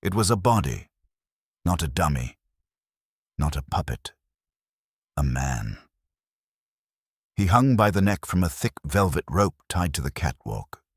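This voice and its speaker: A man reading a scripted detective mystery in a measured, suspenseful storytelling manner. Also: low-pitched, sing-song, breathy edge, no echo, studio quality. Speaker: audiobook narrator. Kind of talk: scripted dramatic mystery narration.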